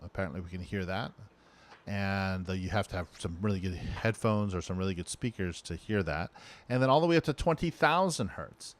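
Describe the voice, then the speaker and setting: A man explaining sound basics in a relaxed recorded lesson, thinking aloud with fillers and restarts. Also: low-pitched, fairly quick. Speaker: audio instructor. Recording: steady mains hum behind voice.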